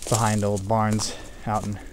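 Calm man narrating a walkthrough outdoors. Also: on the low side. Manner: calm, matter-of-fact narration with filler pauses. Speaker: urban explorer. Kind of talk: walking commentary. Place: outdoors and in an abandoned barn-like building. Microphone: handheld camera mic. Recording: handheld camera, clear background noise.

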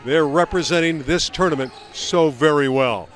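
A man calling play-by-play, opening a girls' basketball broadcast with energetic announcer delivery. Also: a little high, moderate pace. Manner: energetic, builds to shouts. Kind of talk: sports broadcast commentary.

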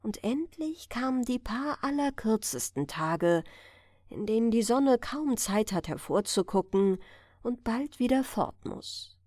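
A woman reading a fairy tale aloud as an audiobook narrator, in an expressive storytelling style. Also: a little high, measured pace, no echo, clean studio sound. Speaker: audiobook reader.

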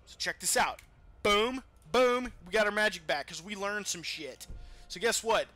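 A man's voice: high-pitched, moderate pace, faint music behind. A man giving casual, profane gameplay commentary as a let's play host. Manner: profane, animated trash-talk.

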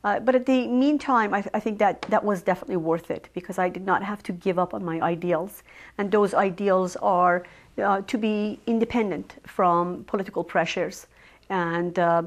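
A woman with a Persian accent speaks in an even middle register during a local cable interview. She talks in a measured, careful way and often pauses on ums and uhs while she gathers her thoughts. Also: mid-range voice. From Iran. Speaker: village trustee candidate.

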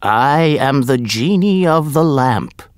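A man plays a big magical storybook genie. He declaims very slowly, with lively swings in pitch, ready to serve. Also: smooth, dry recording, clean studio sound. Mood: commanding.

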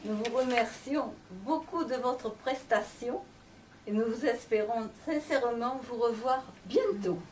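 A woman's voice: a little high, unhurried, a little mellow. An older woman from Bordeaux, a care home resident, politely reading her prepared interview questions. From Bordeaux, France. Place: meeting room in a care home. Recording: distant mic, faint background hiss.

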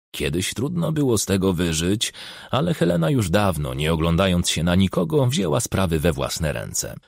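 Gravelly, husky male audiobook narrator. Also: fairly low pitch, a little mellow, dry recording, clean studio sound. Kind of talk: scripted narration of a crime novel.

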